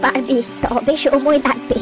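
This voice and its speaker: Cartoon grandmother, female performer: elderly villager, high voice, wistful and gentle storyteller, music underneath. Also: fairly even intonation, unhurried. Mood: tender, reflective. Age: older.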